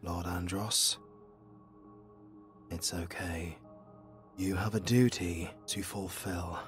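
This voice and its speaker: A man playing a noble, resigned demon hunter in a fantasy audio drama, solemn and measured. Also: low voice, fairly even intonation, slightly rough, soft background music, exaggerated voice. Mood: gentle, resolute. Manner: solemn, measured, hushed at times.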